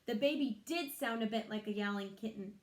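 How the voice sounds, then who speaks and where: A woman's voice: mid-range voice, a little fast. A woman reading a children's story aloud expressively, like a teacher, in an echoey room.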